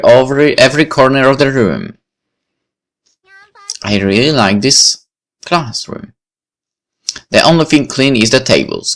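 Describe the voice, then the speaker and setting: A man's voice: mid-range voice, fairly bright tone. A man reading first-person visual novel narration aloud, with music behind him.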